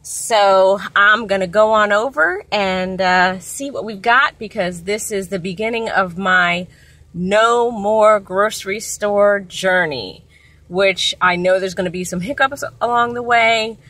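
Bright-voiced American woman vlogging. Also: medium pitch, measured pace, no room sound. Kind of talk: casual vlog chat. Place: inside a parked car. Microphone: phone at arm's length.

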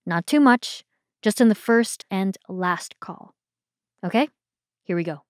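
A woman's voice: mid-range voice, expressive, unhurried, heavy background noise. A woman hosting a podcast, talking in a friendly conversational way. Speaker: podcast host.

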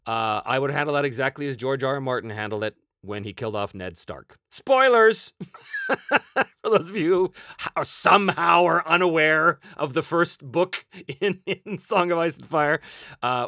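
A man's voice: medium pitch, pushed and bright, no echo, clean studio sound. A Canadian man in his forties, a host answering viewer questions in a rambling, chuckling casual chat from a small home room. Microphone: headset-style, webcam framing.

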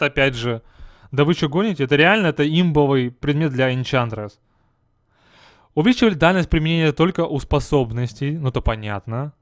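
A man's voice: a little high, no echo, studio quality. Chatty young man reviewing a game patch. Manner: joking, chatty, asks the viewer rhetorical questions. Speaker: gaming video host. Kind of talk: casual patch review commentary.

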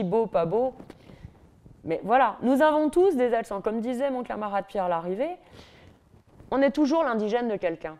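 A middle-aged woman with a Parisian accent giving a university lecture from a lectern in a large hall, lively and ironic, with mocking asides. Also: medium pitch, slight background noise. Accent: Parisian French.

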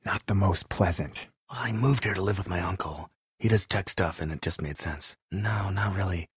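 An earnest, tech-minded survivor in a zombie video game. His voice is deep and very husky, captured close and dry. He talks naturally, a bit awkward and hesitant, with wry matter-of-factness. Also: moderate pace, no echo.

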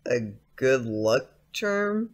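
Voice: medium pitch, big pitch swings, very slow. An actor playing a polite, skeptical, uneasy schoolboy in an audio play, speaking hesitantly. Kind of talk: audio drama acting.

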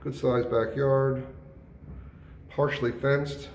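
A man, a real estate agent, walking through and narrating a house tour in a relaxed, informal way. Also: mid-range voice, slow. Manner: relaxed, informal, with small self-corrections. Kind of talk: casual walkthrough narration. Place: empty house, bare rooms. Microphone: handheld phone camera. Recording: echoey empty rooms, faint background noise.

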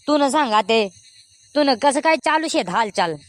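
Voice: sits high, lively intonation, moderate pace. A performer voicing a boastful, defensive village man in a comedy cartoon, with a Khandeshi Ahirani accent. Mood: cheerful.

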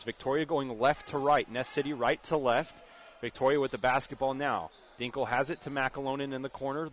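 A young man doing live radio play-by-play commentary of a basketball game as the announcer. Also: mid-range voice. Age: young adult. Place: indoor high school basketball gym. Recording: clear gym noise behind commentary. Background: game noise, bouncing basketball.

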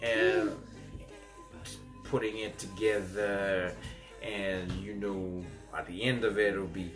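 A man's voice: low-pitched, flat delivery, slow, music in the background, low-quality recording. A man in his thirties from Zambia, with a Zambian accent, hosting a home cooking demonstration in a small kitchen. He talks in a chatty, encouraging way, with plenty of fillers and questioning "mm?" tags. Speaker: cooking channel host.

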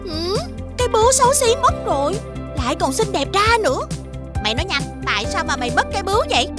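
A woman voicing a greedy, haughty village woman in an animated fairy tale, pushy and scornful. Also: extremely high pitch, fast talker. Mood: jealous. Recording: loud music behind the voice.

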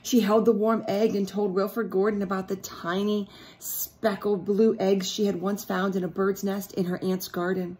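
A middle-aged woman reads a picture book aloud to children, deliberately, pausing to ask them questions. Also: medium pitch, level tone, a little mellow. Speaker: teacher reading aloud to children. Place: small indoor room at home.

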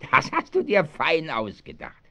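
An actor playing a gruff, threatening caravan thief with a sneering manner in a German children's radio play. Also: male voice, fairly high pitch, sharp tone, heavily acted. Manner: menacing, sneering. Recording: dull 1970s audio drama recording.

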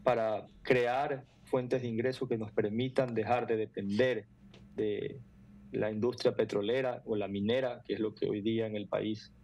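A middle-aged man, explaining earnestly and haltingly as an interview guest on a video call. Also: fairly low pitch, little pitch movement, darkish tone. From Ecuador. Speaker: foundation president, interview guest. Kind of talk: interview answers. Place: room indoors at home or office.

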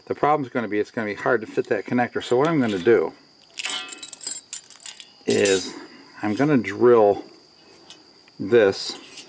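A man's voice: medium pitch, a little mellow, a little hiss or hum. A middle-aged man giving a casual, folksy hands-on how-to, thinking aloud as he works. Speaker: DIY workshop host. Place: outdoors by a house and in a barn workshop.

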